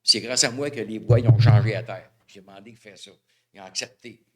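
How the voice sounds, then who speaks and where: A man's voice: on the low side, a little fast, a little mellow. A man with a Québécois accent, a local citizen, making a rambling, hesitant comment at a city council question period. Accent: Québécois French. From Val-d'Or, Quebec. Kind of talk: casual public comment.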